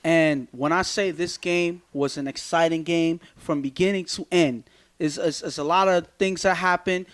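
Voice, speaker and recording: A man's voice: on the high side, steady tone, moderate pace. A man, co-hosting a sports talk show and earnestly analyzing football, sometimes repeating words as he builds a point.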